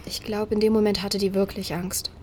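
An actress playing a wary young woman in hiding, confiding in a friend in hushed, often half-whispered audio drama dialogue. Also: medium pitch, flat delivery, fairly quick, slight background noise, lightly acted. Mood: confiding, shaken.

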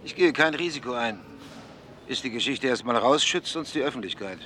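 A man's voice: medium pitch, expressive, brisk, bright, forceful tone, slight background noise. An actor playing a cautious male investigative journalist who answers in guarded, clipped lines. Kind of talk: German TV drama acting.

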